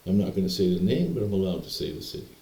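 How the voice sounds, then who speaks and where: Deep, mellow-voiced man telling a story. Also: big pitch swings, fast talker, vocal fry. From Canada. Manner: meandering, hesitant, repeats words and restarts. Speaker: vlogger telling personal stories. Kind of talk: rambling personal storytelling. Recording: echoey room.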